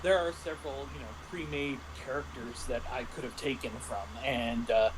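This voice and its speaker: A man in casual explanatory chat as a guest game master, speaking haltingly as he thinks aloud. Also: mid-range voice, fairly even intonation. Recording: clearly noisy livestream audio.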